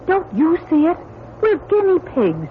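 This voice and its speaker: A woman's voice: very high voice, huge pitch swings, unhurried, full character voice. A woman playing a level-headed doctor held captive with her space crew in an old-time radio drama, firm, reasoning and urgent. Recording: tinny narrow-band radio recording, faint noise.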